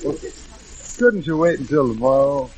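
Mild professor type, bit husky, voice goes up and down, old radio comedy. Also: male voice, mid-range voice, animated, normal speed, rough recording. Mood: mild, stalling. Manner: mild, hesitant, reasonable. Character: mild bandleader professor bargaining with his would-be killer. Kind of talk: 1940s radio comedy acting.

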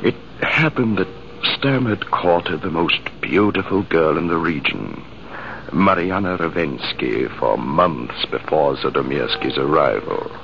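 An actor playing a male army major who tells the story in a reflective, measured way, in 1950s radio drama style. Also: extremely low pitch, animated, gravelly, exaggerated voice. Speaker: narrator. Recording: hissy, muffled old radio broadcast.

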